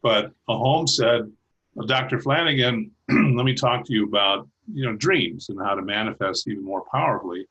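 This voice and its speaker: Husky-voiced man chatting haltingly. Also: fairly low pitch, a bit bright, highs cut off. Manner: halting, with many restarts and light laughs. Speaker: guest. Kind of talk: casual chat. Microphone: video call.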